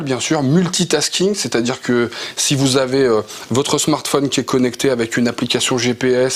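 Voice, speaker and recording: A Frenchman with an average-pitched voice presents a piece of motorcycle equipment. He speaks casually and with enthusiasm, explaining things plainly to his viewers as a reviewer would.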